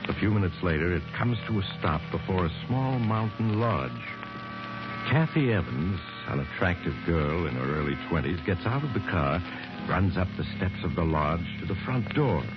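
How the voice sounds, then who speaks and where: Brief: deep, measured male radio narrator, music underneath, muffled vintage sound. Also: expressive. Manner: measured, dramatic storytelling.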